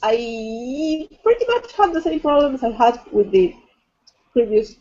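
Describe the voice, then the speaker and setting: A woman's voice: a little high, expressive, measured pace, warm tone, dull audio. A woman co-hosting a casual, informal book chat, often repeating words as she talks, on livestream audio. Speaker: co-host of a book discussion livestream.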